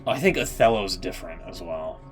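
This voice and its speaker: A man with a fairly low voice talks casually on a live stream. He thinks aloud in a rambling, hedging way and throws in short reactions, with music playing behind him. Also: animated, a little fast. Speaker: streamer reacting to game story readings.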